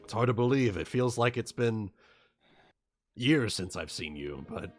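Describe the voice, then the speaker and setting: Man playing a slow, earnest, weary blacksmith. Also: fairly low pitch, expressive, soft background music. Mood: wistful. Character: weary, earnest male blacksmith with arcane knowledge.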